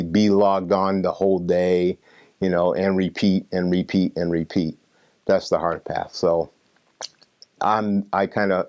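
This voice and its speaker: A man's voice: deep voice, darkish tone. A man explaining his work with young people in an interview, using emphatic repetition. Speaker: youth mentor.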